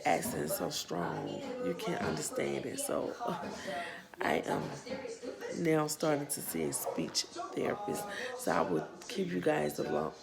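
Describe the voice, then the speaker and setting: A woman in her thirties with a Southern US accent, speaking earnestly and haltingly as a parent who runs a nonprofit, her voice a bit worn. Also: sits low, very animated, gravelly, over a noisy crowd. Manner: slow, earnest, halting with pauses and restarts. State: losing her voice. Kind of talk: personal vlog testimony.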